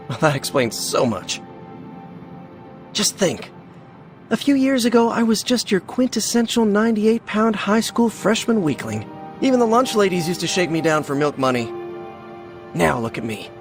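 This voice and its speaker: A nerdy young male superhero in a video game, chatty and earnest. His high voice bounces around in pitch, self-deprecating as he goes, over faint music. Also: sing-song, moderate pace, pauses often. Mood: wry. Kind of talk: video game cutscene acting.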